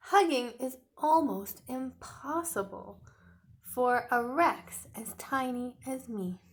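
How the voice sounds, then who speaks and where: A woman's voice: high-pitched, voice pushed up. A woman voicing a small, earnest young male dinosaur in a storybook, speaking in simple, emphatic sentences. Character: small earnest young male T. rex, sad but determined.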